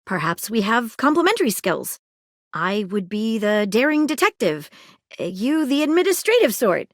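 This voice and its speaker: A prim eager magnifying glass detective from a game, bouncy high voice that jumps around a lot, super polite and sorry-sounding. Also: female voice, dry recording, clean studio sound. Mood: curious. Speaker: detective character. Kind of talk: video game character dialogue.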